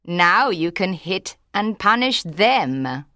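A man doing a slow, sly python. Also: high voice, sing-song, bright, forceful tone, no echo, clean studio sound, pitched above the performer's own voice, heavily acted. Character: calm, sly old python snake.

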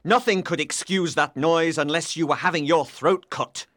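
A man's voice: a little high, normal speed, a bit bright, dry recording, studio quality, pitched above the performer's own voice, natural voice. A man playing a fierce brooding house master. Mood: harsh, shaken. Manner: harsh and commanding.

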